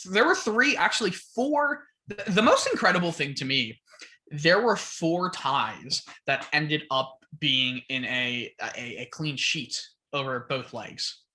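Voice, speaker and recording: A man co-hosting a sports podcast, chatting casually with many fillers and laughs. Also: a little high. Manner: halting, lots of fillers and laughs, animated rises. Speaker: podcast co-host.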